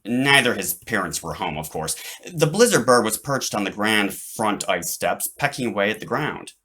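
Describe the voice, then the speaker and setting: A man reading his own children's book aloud as an animated narrator. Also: lowish voice, normal speed, slightly rough.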